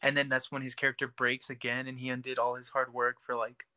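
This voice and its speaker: A man's voice: mid-range voice, monotone, fairly quick, pushed and bright, no room sound. A man co-hosting a podcast, chatting casually about a TV series. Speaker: podcast co-host.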